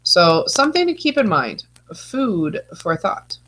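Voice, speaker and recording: A woman's voice: mid-range voice, very animated, unhurried, fairly bright tone, slight background noise. A woman with a stuffy, congested nose, giving a chatty online lecture as a teacher, full of playful asides. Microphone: video call.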